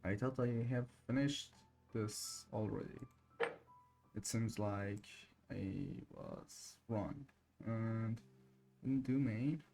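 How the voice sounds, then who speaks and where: A man gives casual gameplay commentary at a slow pace. He mutters to himself in short phrases in a mid-pitched voice, with game music clearly behind him. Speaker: game streamer.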